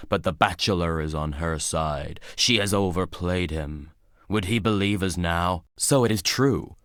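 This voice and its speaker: A solemn old judge for a video game, speaks in a low-pitched voice with very little room sound. He is weary and cryptic, and each line comes out as a grave, formal pronouncement. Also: fairly bright tone, no echo, studio quality. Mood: grave, pronouncing. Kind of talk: dramatic video game voice acting.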